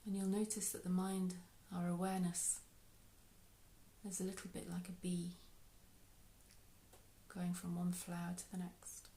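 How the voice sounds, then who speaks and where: Mellow, even-toned woman guiding meditation. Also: fairly low pitch, unhurried, long pauses. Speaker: meditation guide. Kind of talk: guided meditation. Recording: roomy, echoey sound.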